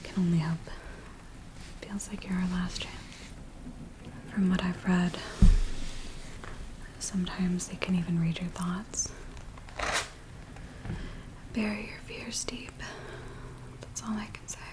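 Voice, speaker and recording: A woman acting as an anxious village woman in a spooky ASMR roleplay. She speaks in a gentle, breathy near-whisper, fairly low and very even in pitch. Steady rain sounds behind her. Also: slow, long pauses. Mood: fearful warning. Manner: hushed, gentle, hesitant. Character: anxious, earnest village woman briefing a werewolf tracker. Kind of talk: soft-spoken ASMR roleplay. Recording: steady rain noise behind close soft voice. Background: rain ambience.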